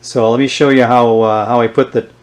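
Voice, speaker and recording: A man presenting an unscripted show-and-tell demonstration in a halting, unhurried way. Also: mid-range voice, fairly even intonation. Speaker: presenter showing vintage radio gear.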